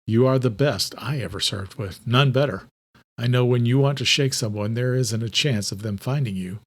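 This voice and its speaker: Audiobook role: man plays composed investigator, serious and loyal, medium-pitched. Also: moderate pace, natural voice. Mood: earnest.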